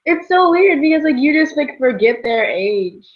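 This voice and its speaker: Dull-sounding, high-pitched young woman chatting. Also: sing-song, moderate pace. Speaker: book discussion show co-host. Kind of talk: casual book chat.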